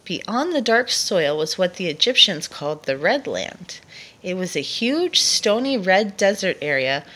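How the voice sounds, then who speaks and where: A woman reading a children's nonfiction book aloud to a child in a measured storytelling delivery. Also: lowish voice, sing-song, a bit bright, husky.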